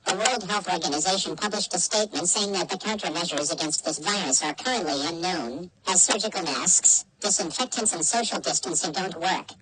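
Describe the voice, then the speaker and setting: A woman reading a scripted emergency broadcast announcement in a formal, measured, synthetic manner. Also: lowish voice, noisy background.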